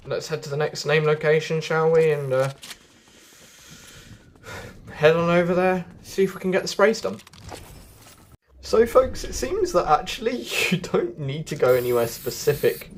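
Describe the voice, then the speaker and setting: A man's voice: fairly high pitch, slight background noise. A man giving casual, chatty game walkthrough commentary, addressing his viewers directly as he goes. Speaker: gaming video host.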